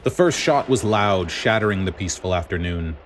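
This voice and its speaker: Low male voice, dramatic story narration, grave, deliberate delivery. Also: animated, a little hiss or hum. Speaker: podfic reader. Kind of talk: scripted dramatic narration of fan fiction.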